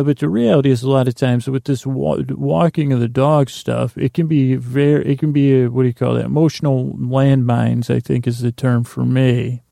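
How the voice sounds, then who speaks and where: A man hosting a sleep podcast in a lulling, soothing tone, meandering haltingly through a bedtime monologue with stammers and repeats. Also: mid-range voice, gravelly, mellow, no echo, studio quality. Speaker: podcast host. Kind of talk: rambling bedtime monologue to lull listeners to sleep.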